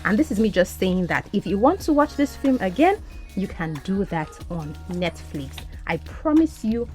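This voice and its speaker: A woman whose first language is Yoruba, hosting a podcast as an English language coach and speaking in an animated, encouraging way. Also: mid-range voice, normal speed, faint music behind. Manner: animated, encouraging teacher's delivery.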